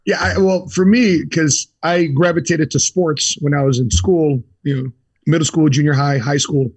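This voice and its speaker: A man's voice: on the high side, steady tone, moderate pace, a little mellow, slightly rough, dry recording. A man being interviewed on a podcast, telling his story steadily in short punchy phrases. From United States. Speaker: guest. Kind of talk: casual interview.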